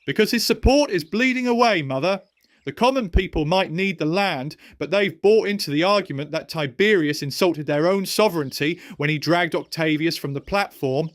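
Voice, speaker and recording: A man's voice: a little high, moderate pace, no echo, natural voice. Male actor as young Roman noble, anxious, earnest, argumentative, rhetorical, close dry sound, audio drama. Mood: accusing. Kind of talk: historical audio drama acting.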